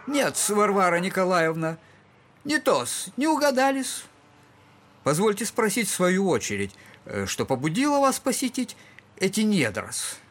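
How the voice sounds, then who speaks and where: An actor playing a shabby, drunken middle-aged captain in an audio drama, husky, clowning and mockingly over-polite. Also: male voice, high-pitched, very animated, a little slow, a bit bright, a little hiss or hum, full character voice. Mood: mocking politeness. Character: shabby drunken captain, buffoonish, humiliated yet defiant.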